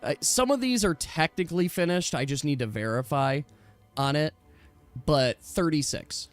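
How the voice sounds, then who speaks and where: A man's voice: a little high, lively intonation, normal speed, faint music behind. A man chatting casually as a game master, with an enthusiastic, rambling way of explaining things to his players.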